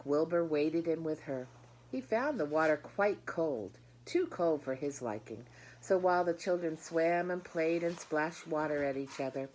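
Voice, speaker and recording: A woman's voice: sits low, a little mellow, a little hiss or hum, low-quality recording. A woman reading a children's book aloud, speaking directly to her listeners with explanatory asides. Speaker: storybook reader.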